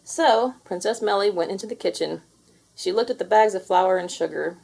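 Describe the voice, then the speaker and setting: Lady reading a kids story out loud, mellow medium voice, expressive and deliberate. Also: medium pitch, vocal fry. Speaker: storybook reader. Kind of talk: children's read-aloud narration with character voices.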